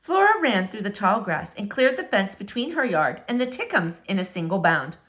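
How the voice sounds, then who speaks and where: A woman narrating a children's storybook aloud with expressive, dramatic, deliberate phrasing. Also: mid-range voice. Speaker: storybook reader.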